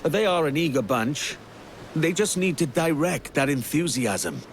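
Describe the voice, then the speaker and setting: Cocky pirate captain for a video game, sailor slang, chasing gold, bit of background noise. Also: male voice, fairly high pitch, sing-song, unhurried. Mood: steady. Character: roguish young male pirate captain, gold-driven and easygoing. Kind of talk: video game cutscene acting.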